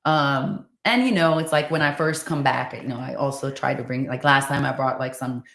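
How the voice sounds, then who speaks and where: A woman's voice: a bass voice, normal speed, creaky, fairly bright tone, echoey room. A woman from Finland chatting casually in an interview, with many fillers and easy laughter. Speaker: guest running a school in Tanzania.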